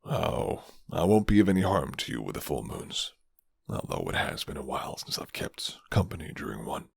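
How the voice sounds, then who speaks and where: A man voicing a gruff, flirty but guarded werewolf in an intimate, murmured ASMR roleplay, with teasing pauses. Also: big pitch swings, no room sound, studio quality, heavily acted. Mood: low, teasing. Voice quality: deep, low and gravelly.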